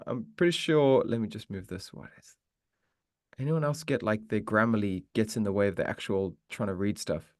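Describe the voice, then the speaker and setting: A man's voice: mid-range voice, no echo, clean studio sound. Chatty encouraging male live-stream host. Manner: chatty and encouraging, restarts often. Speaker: meditation teacher hosting a live Q and A. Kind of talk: casual live-stream chat answering questions.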